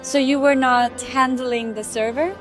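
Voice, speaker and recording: A woman speaks slowly in a fairly high voice, chatting casually with friends as they play. There is music in the background. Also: steady tone. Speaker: friend in a gaming voice chat. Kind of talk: casual chat.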